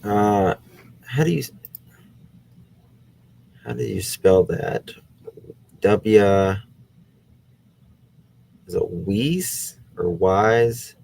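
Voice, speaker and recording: A man's voice: fairly low pitch, extremely slow, creaky, pauses often, darkish tone, rough recording. A man hosting a true crime channel, thinking aloud in casual talk as he looks things up during a case discussion. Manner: thinking aloud while searching online.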